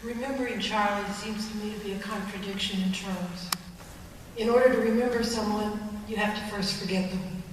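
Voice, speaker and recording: Woman, thoughtful steady memorial tribute, far from the mic, long hall reverb. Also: mid-range voice, moderate pace, a little hiss or hum. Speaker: longtime colleague speaking at a memorial. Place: large hall at a memorial service. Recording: distant echoey hall recording.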